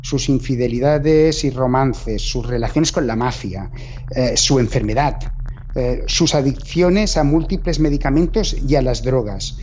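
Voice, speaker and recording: A man's voice: mid-range voice, unhurried, a little mellow. A man explaining as an expert interviewee, posing rhetorical questions. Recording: narrow dull sound, light background noise.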